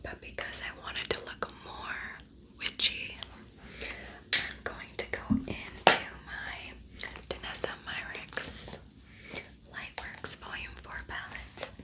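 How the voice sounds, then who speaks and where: A woman speaks in a breathy, unvoiced whisper, as for an ASMR tutorial. Her delivery is soft and intimate, with casual phrasing and gently repeated words. She sounds like a host guiding the listener step by step. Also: poor audio.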